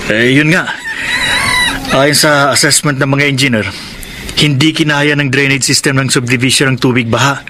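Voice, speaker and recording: A man's voice: medium pitch, steady tone, moderate pace, close to an everyday voice. A man playing an earnest, conscientious neighbourhood rescuer in a radio drama, explaining things seriously.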